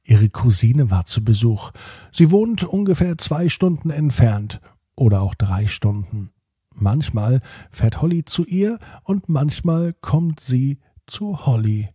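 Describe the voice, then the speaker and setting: A man's voice: medium pitch, lively intonation, mellow, breathy edge, no room sound, studio quality. A man hosting a children's podcast and narrating a bedtime story, speaking directly to young listeners. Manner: addresses young listeners directly, drawn-out repeated words.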